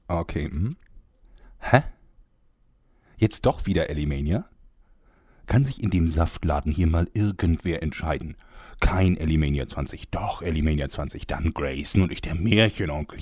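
Man playing a very deep, pompous grumbling narrator. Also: lively intonation, normal speed, long pauses, a little mellow, breathy edge, dry recording, studio quality, exaggerated voice. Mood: indignant, grumbling. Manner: theatrical, pompous, self-important. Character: grumbling, vain male narrator fed up with his job. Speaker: narrator of a comedy audio drama. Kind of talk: German comedy audio play, storyteller narration.

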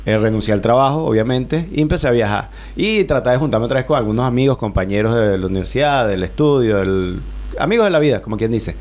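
A man's voice: medium pitch, lively intonation, quick pace, darkish tone. A Venezuelan man in his thirties, a guest in a radio studio, telling his story at length with many fillers and restarts. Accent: Venezuelan Spanish. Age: 30s. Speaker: guest, bicycle traveler. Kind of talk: relaxed radio interview.

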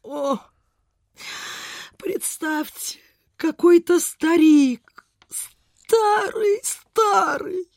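A woman's voice: high voice, no echo, clean studio sound, heavily acted. An actress playing a kindly, anxious provincial landlady in a radio play, sighing and fretful, with old-fashioned speech. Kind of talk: Soviet radio play acting.

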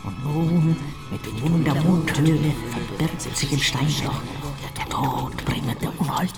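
An actor in a radio play as a grandiose storyteller with a pompous, declamatory delivery. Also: male voice, a little high, slow. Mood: grandiose, proud. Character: grandiose chorus storyteller, proud of the hero. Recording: loud music bed behind.

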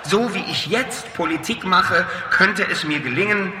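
An actor in a satirical radio drama playing a self-important political campaigner, emphatic and rhetorical. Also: male voice, high-pitched, lively intonation, unhurried, background noise. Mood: grandiose, self-satisfied. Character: self-satisfied, grandiose male media-savvy politician and campaigner.

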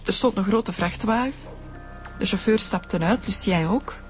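Woman acting shaken young Flemish witness, mid-pitched voice, earnest and defensive, radio crime drama, faint music behind. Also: measured pace, natural voice. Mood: earnest recounting.

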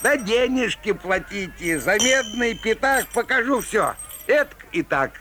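High-pitched, swooping fairground showman. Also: expressive, background noise. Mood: showy, playful. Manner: drawn-out, declamatory, playful sing-song. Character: theatrical male fairground peepshow barker telling rhymed folk tales. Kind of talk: rhymed Russian folk-tale showman patter.

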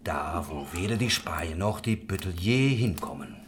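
A man's voice: low voice, lively intonation, normal speed, noisy background. An actor playing a worldly, idealistic craftsman who leads a religious commune, musing and talkative, in a German historical radio drama. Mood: genial, knowing.

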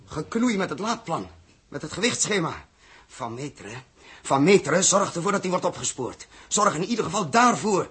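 A man's voice: fairly high pitch, big pitch swings, moderate pace, fairly bright tone, breathy edge, exaggerated voice. A man acting a fiery, blunt spaceship captain with a Dutch accent and emphatic delivery in a 1960s radio drama. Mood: shocked, furious. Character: fiery male spaceship captain, blunt, indignant, hard-driven. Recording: muffled-edged vintage 1960s radio recording.

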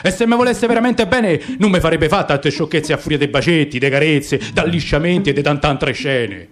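A man's voice: on the high side, expressive, brisk, pitched below the performer's own voice, close to an everyday voice. A man voicing a gloomy, proud caged circus lion in radio theatre, grumbling resentfully with a Roman accent. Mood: bitter, fed up. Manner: brooding, grumbling complaint.

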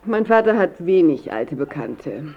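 An actress playing a controlling, businesslike middle-aged daughter guarding her father in a radio drama, brisk and formal. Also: low-pitched, very animated, husky, highs cut off, full character voice. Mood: firm, protective.

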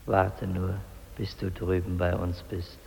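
An actor playing the bitter, accusing ghost of a dead polar explorer, hammering out reproaches in an old radio play. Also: male voice, low voice, completely flat delivery, takes it slowly, creaky, darkish tone, heavily acted. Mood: cold, menacing. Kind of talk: 1950s German radio drama acting. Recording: hissy old radio recording.

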